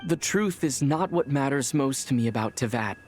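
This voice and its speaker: A woman voicing an earnest, loyal young traveler in a game cutscene, calm and understated. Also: extremely low pitch, normal speed, faint music behind. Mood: calm, sincere.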